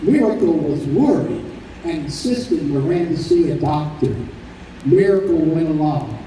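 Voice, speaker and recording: A man performing as the measured narrator of an old time radio drama, telling a sentimental story. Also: on the high side, animated, mellow. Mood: solemn storytelling. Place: convention hall stage. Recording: roomy live stage recording, distant, faint noise.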